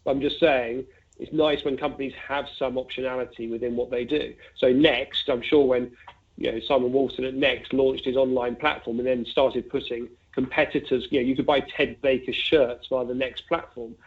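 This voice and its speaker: A man's voice: mid-range voice, steady tone, moderate pace. A man being interviewed as an investment fund manager, talking conversationally and thinking aloud with asides and examples over a phone-like line. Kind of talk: conversational market commentary. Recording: narrow, tinny phone-like line.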